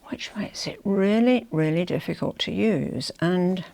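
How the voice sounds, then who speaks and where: An older woman with a croaky, hoarse throat, chatting casually to camera as a fashion vlogger, rambling with confiding asides. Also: on the low side, animated, unhurried, heavy background noise. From England.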